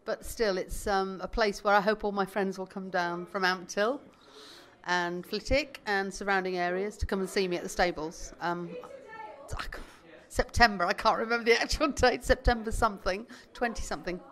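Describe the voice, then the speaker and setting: A woman's voice: mid-range voice, normal speed, a bit bright. A woman, a musician, chatting in an interview, rambling a little and laughing at herself. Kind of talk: casual interview chat.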